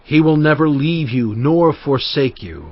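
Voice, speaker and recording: A man's voice: a little high, a little slow. An actor playing an aged prophet and leader, solemn and fervent, addressing his people in a dramatized scripture reading. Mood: encouraging, firm. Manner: solemn, emphatic oratory. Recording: muffled audio with music underneath.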